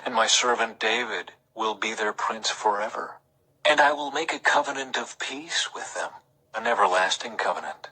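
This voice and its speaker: A man's voice: on the low side, moderate pace, bright, forceful tone, low-quality recording. A man reads scripture aloud in a solemn, emphatic, dramatic scripted style. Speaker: scripture reader.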